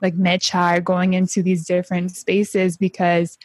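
A woman's voice: fairly low pitch, moderate pace, no room sound. Chatty young woman, dry sound. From San Diego, Honduran family. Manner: chatty, heavy use of "like", animated quoting. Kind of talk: casual chat.

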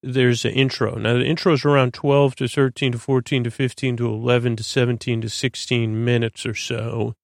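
Creaky-voiced man, rambling bedtime podcast host. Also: mid-range voice, flat delivery, moderate pace, few pauses, no echo, studio quality. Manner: meandering, stammering, trails into tangents; soothing, lulling delivery.

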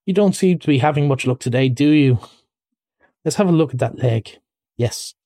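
Storybook rat, played by a male narrator: eager, bossy, good-hearted, easily exasperated, raised pitch, close dry sound. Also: animated, normal speed, mellow, dry recording. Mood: kindly. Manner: eager, emphatic, impatient. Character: excitable male water rat, kindly but exasperated and bossy.

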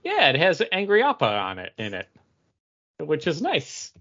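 A man's voice: on the high side, wildly swooping pitch, normal speed, bright, forceful tone. A man co-hosting a podcast, chatting in a casual, informal style. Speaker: podcast co-host. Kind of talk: casual chat. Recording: dull, lo-fi audio.

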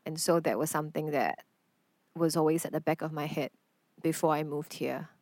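A woman's voice: sits low, fairly even intonation, moderate pace, darkish tone, no echo. A woman from Singapore, an artist speaking as a guest in a reflective, earnest conversation.

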